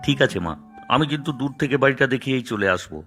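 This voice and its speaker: A man's voice: medium pitch, animated, racing, a bit bright, husky, faint music behind. A man voicing an exasperated, bashful young groom-to-be who grumbles reluctantly in a children's cartoon.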